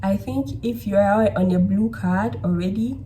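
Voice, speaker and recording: Warm-voiced young woman giving casual advice. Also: mid-range voice, a little slow, slight background noise, rough recording. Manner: chatty, frequent "like" and "okay?" check-ins. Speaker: vlogger giving study and job advice. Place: indoors at a laptop.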